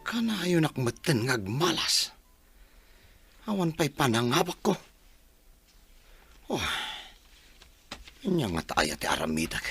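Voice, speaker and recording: A man's voice: medium pitch, fairly bright tone, slightly rough, dry recording, full character voice. A man playing a bitter, exasperated loser in a radio drama, grumbling about his bad luck. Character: unlucky, grumbling man cursing his losses. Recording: music under the voice.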